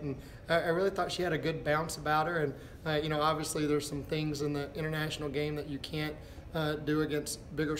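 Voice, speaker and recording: A middle-aged man, a basketball head coach, answering postgame press questions in a halting, thinking-aloud way. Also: on the high side. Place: indoors before a backdrop, a step from camera. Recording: echoey room with background noise.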